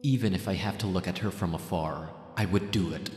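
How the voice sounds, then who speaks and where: A man's voice: low voice, brisk, darkish tone, close to an everyday voice. A man playing an obsessive, lovesick young ex-boyfriend in an ASMR roleplay monologue, anxious, possessive and agonized. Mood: desperate, longing. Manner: brooding, agonized, self-questioning. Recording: reverberant echoey voice over music. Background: music.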